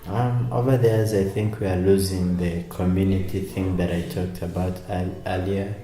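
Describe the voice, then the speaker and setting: A man's voice: low voice, little pitch movement, moderate pace, dark tone. A man giving his views in an interview, hesitant and full of fillers, in an echoey room. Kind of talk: reflective casual talk. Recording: echoey room with faint ambience.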